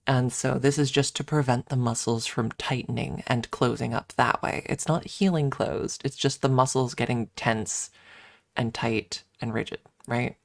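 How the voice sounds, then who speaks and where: A woman's voice: rumbling low, no echo. A woman streamer chatting casually on an ASMR stream, rambling and candid as she explains things, close to the microphone. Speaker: livestream host and ASMR streamer.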